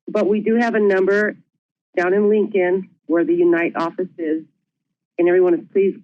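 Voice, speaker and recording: A woman's voice: medium pitch, dry recording. A woman who serves as a board secretary, giving plain, friendly webinar remarks. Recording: muffled, dry conference audio.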